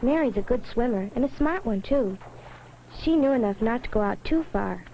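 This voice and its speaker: A woman's voice: a little high, expressive, moderate pace, warm tone, slight background noise, rough recording. A woman playing a sensible schoolgirl who narrates a summer safety story in an educational film. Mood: earnest, sensible. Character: sensible schoolgirl recounting a summer lake trip.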